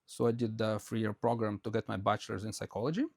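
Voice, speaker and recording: Brief: Russian-born male podcast guest, quick rambling delivery, gravelly low voice, dry close sound. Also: level tone, no echo. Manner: rambling, many fillers and restarts. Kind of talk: conversational interview answers. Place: Quebec, Canada.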